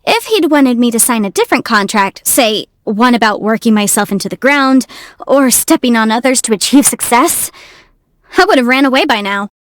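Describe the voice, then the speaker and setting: A woman voicing a young, quick-witted half-immortal legal adviser in a video game, articulate, self-assured and playful. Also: fairly high pitch, expressive, no echo, close to an everyday voice. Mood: fond, reflective. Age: young adult. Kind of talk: video game character voice lines.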